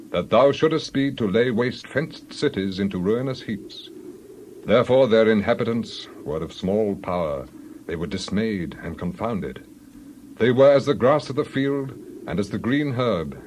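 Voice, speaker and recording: Stern male prophet man acting, deep-set voice, solemn declamation for scripture drama, noisy recording. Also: darkish tone, lightly acted. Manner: declamatory, emphatic, measured. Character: stern male Hebrew prophet proclaiming God's judgment.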